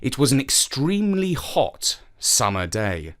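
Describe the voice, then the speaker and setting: A man narrating a children's story in an expressive storytelling manner. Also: medium pitch, measured pace.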